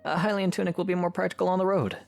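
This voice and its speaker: A man's voice: high-pitched, fairly even intonation, rapid-fire, creaky. A man playing a kind, easygoing young adventurer with a casual, reassuring manner in a fan audio drama. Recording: music under the voice.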